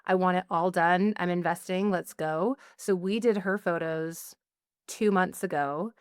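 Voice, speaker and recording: A woman's voice: on the low side, measured pace, clean tone, no room sound, clean studio sound. A woman guest on a podcast, talking casually and chattily with many "like"s and "you know"s. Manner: chatty, lots of likes and you-knows. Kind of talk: casual interview chat.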